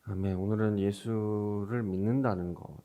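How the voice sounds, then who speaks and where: A man speaks as a pastor in a Sunday service. His voice sits a little low. He explains the scripture conversationally, turning to the congregation with questions as he teaches. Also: fairly even intonation, normal speed, dark tone, lo-fi sound. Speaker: pastor preaching. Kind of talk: Sunday sermon with scripture reading.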